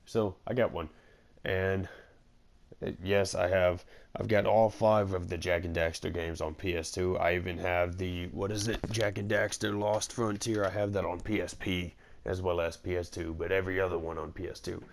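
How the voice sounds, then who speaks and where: A man's voice: low-pitched, flat delivery, normal speed, gravelly, a little hiss or hum. A man giving casual, rambling, slangy gameplay commentary. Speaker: gaming commentator. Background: game music.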